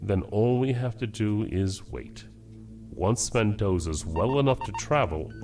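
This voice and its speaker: A stern starship captain in a science fiction audio drama. His voice is very low and swings widely as he gives curt, decisive commands. Faint music plays underneath. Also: expressive, measured pace, a little mellow. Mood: determined.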